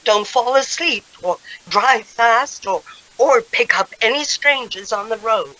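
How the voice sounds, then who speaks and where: A anxious mother in a radio drama. Her voice sits fairly high and very bright. She fusses and pleads fretfully over her grown son. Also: a little slow, poor audio. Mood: worried, pleading.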